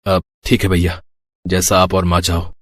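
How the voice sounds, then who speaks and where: Low-voiced actor as a working son. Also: male voice, completely flat delivery, moderate pace, no room sound. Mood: earnest. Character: working adult son of a poor household. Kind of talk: Hindi moral story cartoon acting.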